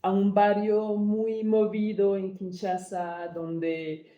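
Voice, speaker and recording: A woman from Congo, co-founder and director of an arts project, answering interview questions thoughtfully and pausing to search for words. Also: mid-range voice, little pitch movement, reverberant, lo-fi sound. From Democratic Republic of the Congo.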